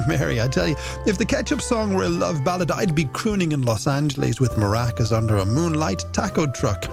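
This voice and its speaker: A man's voice: fairly high pitch, lively intonation, a little fast, vocal fry. A man presenting a late-night music radio show in playful, theatrical, florid patter from a studio. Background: music bed under the voice.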